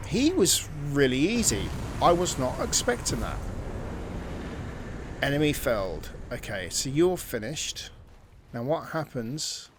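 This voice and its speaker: A man's voice: mid-range voice, sing-song, measured pace, background noise. Man thinking aloud over gameplay. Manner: musing, thinks aloud, drops to near-whispers at times. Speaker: gameplay commentator. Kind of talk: casual let's-play commentary.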